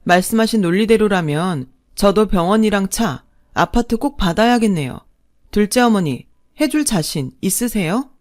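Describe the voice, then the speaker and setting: A woman's voice: medium pitch, expressive, normal speed, a little mellow, lightly acted. Woman acting assertive, cutting young bride. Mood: cool defiance. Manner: polite but cutting, assertive. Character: young woman doctor, bride standing up to in-laws. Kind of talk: dramatised family story narration.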